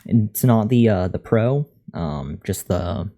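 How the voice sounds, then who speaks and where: Male live streamer, very low and relaxed, monotone, casual desk-mic chat while learning to code. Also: slow pace. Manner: thinking aloud, reading out and answering chat. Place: untreated room at a computer. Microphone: USB condenser microphone at a desk.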